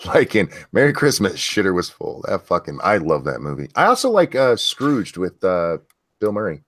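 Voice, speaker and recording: Deep-voiced man hosting a casual stream. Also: huge pitch swings, moderate pace, a bit bright, no room sound. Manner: easygoing, crude and joking. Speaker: stream host reading viewer questions. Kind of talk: casual group chat on a livestream.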